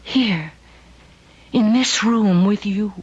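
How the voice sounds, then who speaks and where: An actress playing a lonely, tender woman who longs to be a mother, speaking gently in an old-time radio drama. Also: mid-range voice, drawn-out words, breathy edge. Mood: tender, yearning. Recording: dull lo-fi radio recording.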